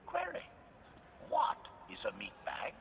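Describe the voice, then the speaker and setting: An actor voicing a haughty, threatening assassin droid in a game, with formal pedantic speech and a robotic filter. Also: male voice, on the high side, huge pitch swings, slow, creaky, fairly bright tone, full character voice. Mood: coolly pedantic. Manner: announces each utterance type, formal wording. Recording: hall reverb, background noise.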